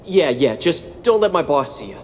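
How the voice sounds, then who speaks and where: An actor playing a suspicious but easily cowed worker in a video game, speaking in a flustered, hesitant manner. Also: male voice, a little high, very animated, moderate pace, long reverb tail, a little hiss or hum. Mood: flustered, grudging.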